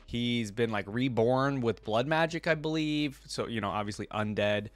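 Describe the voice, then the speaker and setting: A man with a mid-to-low voice works as a reaction host, moving between reading and casual commentary. The sound is very dry and close, with little room around it. He talks conversationally, as if to his viewers. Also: moderate pace, no room sound.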